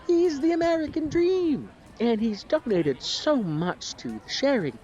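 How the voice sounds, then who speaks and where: A man's voice: extremely high pitch, big pitch swings, unhurried, a little mellow, muffled recording, heavily acted. A man playing an eager, starry-eyed devotee of an organization, chatting earnestly and gushing in an audio drama. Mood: gushing admiration. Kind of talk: audio drama small talk.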